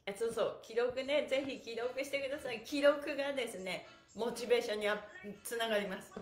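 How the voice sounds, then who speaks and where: A woman's voice: on the high side, fairly quick, echoey room, soft background music. A middle-aged woman hosting a chatty live exercise class, bubbly and playful as she addresses her viewers. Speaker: pantomime teacher and livestream host. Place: indoor room with bare walls and exercise mat.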